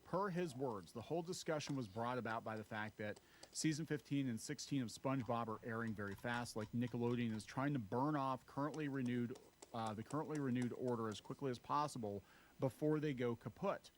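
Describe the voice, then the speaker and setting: A man's voice: mid-range voice, fairly quick, a little hiss or hum. Matter-of-fact male video narrator. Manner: steady, matter-of-fact reading with occasional fillers. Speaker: video narrator giving a news update. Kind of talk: read-aloud online news update.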